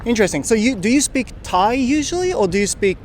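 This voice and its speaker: A man's voice: very high voice, sing-song, quick pace. A man with a Japanese accent interviewing people on a city street in a chatty, friendly way, laughing often. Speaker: street interviewer, channel host.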